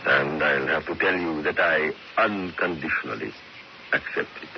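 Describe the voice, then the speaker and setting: A man's voice: extremely low pitch, moderate pace, creaky. An actor playing a stern, regal king in a radio drama, cold, firm and authoritative. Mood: cold, resolute. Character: stern, regal Italian king dismissing his dictator. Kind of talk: old-time radio drama acting. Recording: muffled, noisy vintage radio recording.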